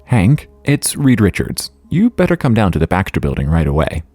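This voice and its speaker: A man playing a calm, reassuring scientist hero in an audio drama, talking in a measured way. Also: deep voice, no room sound, soft background music, full character voice. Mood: grave, urgent. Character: calm, measured male superhero scientist tending a patient.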